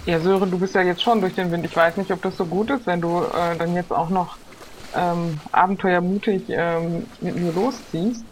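A woman's voice: lowish voice, fairly even intonation, moderate pace, a little mellow, a little hiss or hum, close to an everyday voice, rough recording. A woman playing an agreeable, level-headed adventurer in an improvised pirate roleplay, talking in a considered, colloquial way. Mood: considering.

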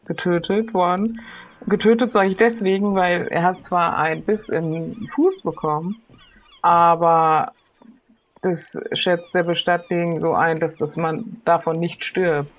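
A woman's voice: fairly low pitch, unhurried, highs cut off. A woman playing an improvised role-playing game in casual chat, thinking aloud hesitantly.

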